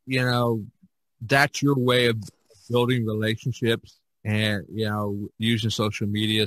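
A man's voice: mid-range voice, a bit bright, dry recording, rough recording. A man hosting a casual unscripted podcast, talking in a rambling way and repeating his phrases. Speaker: podcast host.

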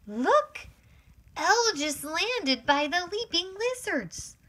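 A woman reading a children's picture book aloud in an expressive, exclamatory, sing-song storytelling manner for young children. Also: very high voice, slow.

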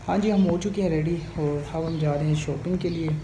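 A young man talks to his phone camera as he walks through streets and a busy shop. He rambles in a casual, chatty way and keeps checking in with his viewers. Loud noise runs behind him the whole time. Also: fairly high pitch, a little fast. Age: young adult. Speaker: vlogger. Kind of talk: casual vlog walk-through. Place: walking through streets and a large bakery shop. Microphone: phone camera held in hand.

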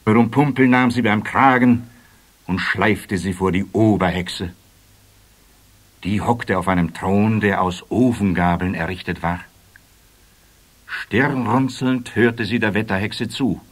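A man narrating a children's radio play in expressive, scripted storybook style. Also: fairly low pitch, slow, pauses often.

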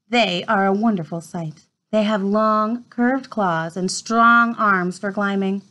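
A woman in her thirties reading a children's nature book aloud in an expressive storytime manner, recorded at home. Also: on the high side. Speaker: read-aloud host. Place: small room at home, indoors.

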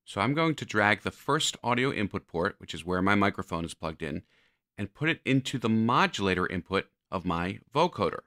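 Bright, dry-voiced male software instructor. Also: on the low side, sing-song, fairly quick, no room sound. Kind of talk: explanatory screencast narration.

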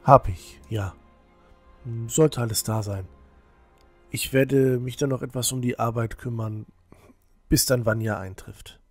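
A man playing a loyal, dutiful young temple novice in an audio drama, earnest and measured. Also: mid-range voice, fairly even intonation, soft background music. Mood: supportive, earnest. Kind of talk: acted audio drama dialogue.

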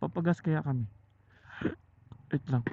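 Male Filipino rider-vlogger, chatty and halting, slightly high voice, narrow phone-like helmet intercom sound. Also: gravelly, breathy edge. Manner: chatty, halting, thinking aloud. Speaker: motorcycle vlogger. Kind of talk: casual vlog chat to viewers while riding. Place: outdoors riding a motorcycle on mountain roads. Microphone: helmet intercom microphone. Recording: muffled phone-like helmet intercom, loud vehicle noise.

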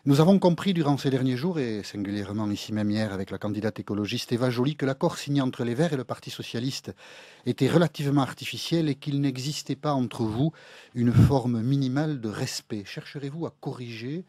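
A man's voice: medium pitch, a little fast, a little mellow, no room sound. Probing Frenchman in a dry studio. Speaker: radio political interviewer. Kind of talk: formal, challenging interview questions. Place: radio studio.

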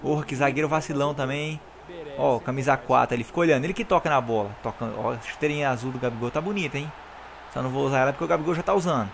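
A young Brazilian man, hoarse from recording, giving excited, slangy commentary over his own football video game match and talking straight to his viewers. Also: on the high side, a little hiss or hum. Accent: Brazilian Portuguese. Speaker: gaming YouTuber. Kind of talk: live gameplay commentary.

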